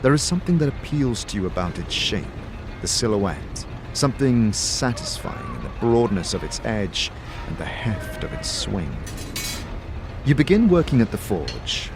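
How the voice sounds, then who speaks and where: A man reading scripted fantasy narration in a deliberate, theatrical way. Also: on the low side, very animated. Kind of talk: dramatic scripted second-person fantasy narration. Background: music.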